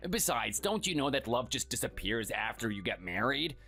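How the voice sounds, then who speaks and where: A performer voicing a cold, contemptuous workaholic husband who talks curtly and dismissively. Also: male voice, mid-range voice, very animated, moderate pace, creaky, pushed and bright, soft background music, exaggerated voice. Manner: curt, scornful, dismissive.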